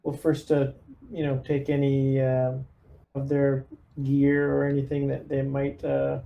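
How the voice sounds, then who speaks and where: A man's voice: medium pitch, completely flat delivery, a little slow, vocal fry, muffled recording. A man chatting casually as a player in a roleplaying game, thinking aloud with some hesitation. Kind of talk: casual chat during a game.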